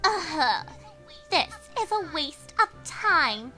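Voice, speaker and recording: A woman's voice: high-pitched, very animated, drawn-out words, sharp tone, soft background music, exaggerated voice. A woman voicing a pampered young cartoon pony filly, whiny, sneering and haughty, in a fan audio drama. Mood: sneering, bored.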